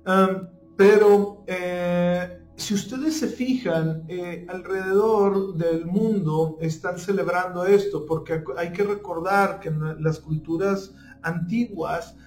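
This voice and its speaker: A man giving an informal spiritual talk, pausing often with fillers and checking in with listeners after nearly every phrase. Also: high voice, a little mellow. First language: not Spanish (joking). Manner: halting, many fillers, stumbles over names. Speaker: spiritual teacher. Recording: echoey room with faint music.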